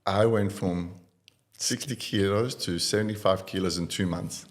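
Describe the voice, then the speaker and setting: A man from Iraq in his thirties, a guest on a podcast, telling his life story in a relaxed way with many fillers. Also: low-pitched, moderate pace. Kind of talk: casual interview talk.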